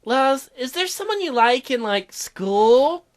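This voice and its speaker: Man doing a soft, hesitant teenage girl. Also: very high voice, very animated, takes it slowly, pitched below the performer's own voice, full character voice. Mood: awkward, hesitant. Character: confused, self-conscious teenage girl heroine.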